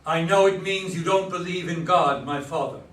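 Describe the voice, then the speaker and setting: Man playing a high-voiced, solemn self-declared messiah. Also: normal speed, a bit bright. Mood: preachy. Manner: earnest, declarative, preachy. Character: solemn delusional young man claiming to be God's son. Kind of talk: staged play reading. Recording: echoey room, distant microphone.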